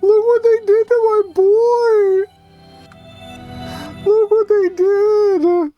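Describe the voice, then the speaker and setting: A man putting on a shrill falsetto as a distraught mourner wailing over a fallen friend during a game stream. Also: flat delivery, very slow, dull audio, faint music behind, exaggerated voice. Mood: wailing grief.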